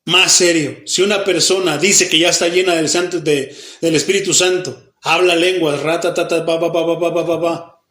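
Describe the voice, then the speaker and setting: A Mexican pastor with a fairly high voice leads a Bible study in a church hall where his words ring off the walls. His Mexican Spanish accent is plain, and he fires questions at the congregation, then answers them himself. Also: moderate pace. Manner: acts out the people he quotes, even mock babbling.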